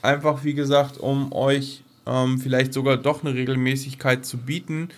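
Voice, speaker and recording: A man's voice: medium pitch, level tone, moderate pace. A middle-aged man co-hosting a podcast in casual, unscripted conversation, explaining things and checking for agreement. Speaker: podcast co-host.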